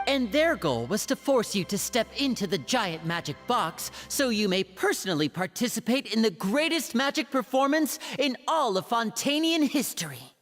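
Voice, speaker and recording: A man's voice: extremely high pitch, big pitch swings, normal speed, hardly any pauses, fairly bright tone, exaggerated voice. An actor playing a poised young male stage magician in a video game, polished, courteous and full of showmanship. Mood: proud, courteous. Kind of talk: video game character acting. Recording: long hall reverb, music behind.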